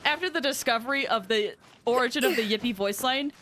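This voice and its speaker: A woman casually commentating on a live game stream, chatty and excitable, close on the microphone. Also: on the high side, fairly quick. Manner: chatty, excitable, swoops into squeals.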